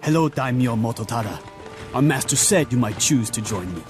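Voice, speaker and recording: Game role: young samurai general male actor. Loyal, proud, solemn, medium pitch, commanding formal delivery over a clear musical score. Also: expressive, fairly quick, over music, natural voice. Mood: respectful, resolute. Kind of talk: dramatic game cutscene acting.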